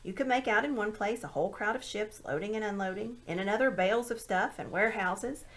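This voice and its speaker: A woman reading a children's story aloud in an expressive narrating style. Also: mid-range voice, brisk. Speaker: amateur audiobook reader.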